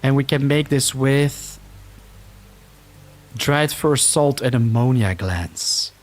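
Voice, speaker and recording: A man's voice: mid-range voice, slow pace. A man from the Netherlands with a Dutch-Flemish accent, chatting casually as he streams a game live, reacting to the action and reading viewer comments aloud.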